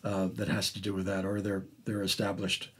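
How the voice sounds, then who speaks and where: A middle-aged man hosting an interview in a casual, effusive way, rambling and halting as he talks about the arts. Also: deep voice, monotone, fairly bright tone, breathy edge. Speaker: podcast host interviewing an actor. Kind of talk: casual, enthusiastic arts conversation.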